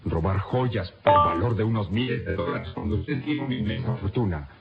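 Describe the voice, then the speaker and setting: A man's voice: lowish voice, animated, over loud music. An actor playing a dutiful, incredulous police captain in a radio serial, formal and insistent as he questions a prisoner. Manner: insistent, formal, halting with disbelief. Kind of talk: old radio serial acting. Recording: dull, noisy old radio recording.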